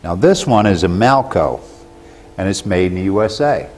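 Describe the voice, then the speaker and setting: Low-voiced middle-aged American man, folksy. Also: animated, moderate pace, darkish tone. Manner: plain-spoken, folksy. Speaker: presenter of how-to videos for a store. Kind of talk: casual tool demonstration to camera. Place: plain indoor workshop room. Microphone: lapel mic.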